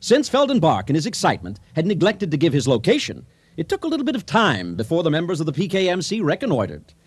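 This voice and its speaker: An actor playing a theatrical narrator who addresses the audience in a comic radio drama. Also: male voice, a little high, huge pitch swings, quick pace, breathy edge, exaggerated voice. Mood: animated storytelling. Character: theatrical, folksy male storyteller addressing an audience.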